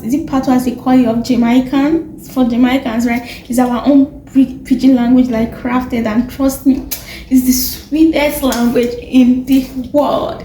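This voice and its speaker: A woman's voice: sits high, dark tone, roomy sound, slight background noise, rough recording. A young woman with a Nigerian accent, chatting casually to camera, rambling with fillers and corrections. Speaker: vlogger.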